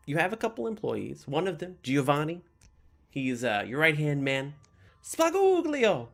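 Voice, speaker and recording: A man's voice: on the high side, animated, normal speed, gravelly, a bit bright. A man working as game master, narrating and commenting casually in an informal, self-deprecating way.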